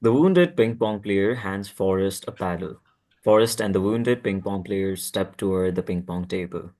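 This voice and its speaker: Low-voiced man reading stage directions. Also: normal speed, mellow. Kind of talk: script read aloud at table read.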